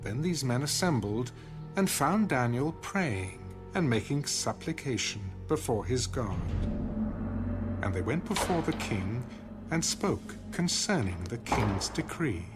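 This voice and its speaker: A man giving solemn, deliberate scripted narration of scripture in a dramatized audio style. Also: mid-range voice, very animated, over music.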